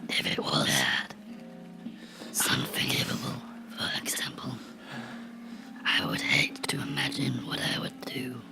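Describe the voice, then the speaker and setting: A man playing a vengeful cartoon gnome come to life, menacing and mocking in a breathy, gravelly whisper for a horror ASMR role-play. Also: mid-range voice, very animated, music in the background, exaggerated voice. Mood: mocking menace. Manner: slow, deliberate, sinisterly playful, taunting.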